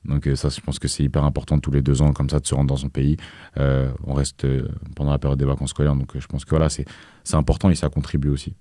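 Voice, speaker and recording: A man's voice: a bass voice, completely flat delivery, fast, warm tone, dry recording. A young man talking casually in an interview, hesitant, with many fillers and restarts. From France. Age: young adult. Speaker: guest, activist.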